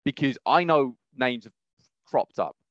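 A man talks casually as a podcast co-host, with a mid-pitched voice. He speaks haltingly and rambles, often rewording as he goes. The sound is dry and a little dull. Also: animated, no echo. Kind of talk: casual gaming news discussion.

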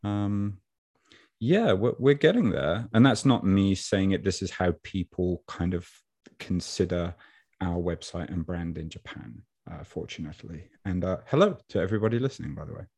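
A man with a deep, warm voice speaks as a podcast guest over a video call. He tells stories in an animated way and laughs now and then. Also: normal speed, breathy edge. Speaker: podcast guest, online fitness coach. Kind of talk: casual storytelling interview. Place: Japan.

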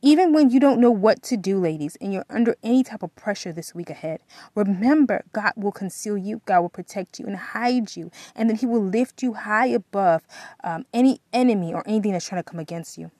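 Female faith podcast host, mid-pitched, earnest and exhorting, repetitive for emphasis, close dry recording. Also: animated, a little fast, dark tone, no echo. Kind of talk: devotional encouragement talk with prayer.

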